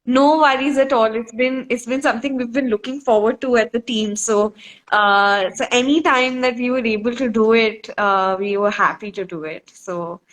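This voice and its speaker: A woman with a slightly high voice hosts a live online discussion over a video call. She asks her questions politely and at a measured pace, with the flat sound of a laptop mic. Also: rough recording. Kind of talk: casual live-stream interview.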